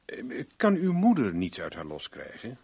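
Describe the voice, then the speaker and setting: A cool-headed private eye for a radio play, low slightly husky voice, glib and teasing. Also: male voice, huge pitch swings, moderate pace, a little mellow, exaggerated voice. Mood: probing. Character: wry, flirtatious, cool-headed male private investigator for hire. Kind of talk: Dutch radio drama acting.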